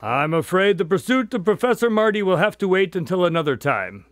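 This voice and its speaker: A man's voice: high voice, sing-song, brisk, no echo, studio quality. A middle-aged man playing a genial, teasing doctor who recounts cases in a radio drama. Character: genial male doctor telling his case stories.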